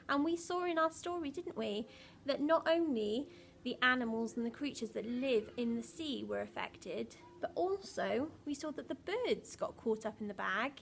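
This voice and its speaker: A woman's voice: on the high side, gravelly, slight background noise. A woman primary school teacher reading a picture book expressively to young children, with dramatic emphasis and questions to the listeners.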